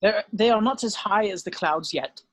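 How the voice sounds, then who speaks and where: Guy reading stage directions, pretty high voice, dry sound, storytelling for a script read. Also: moderate pace, fairly bright tone, no echo. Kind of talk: scripted narration at a drama table read.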